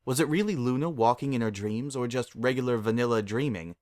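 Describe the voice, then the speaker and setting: A man's voice: mid-range voice, brisk, darkish tone, no echo, clean studio sound. A man narrating a story as a dramatic reading.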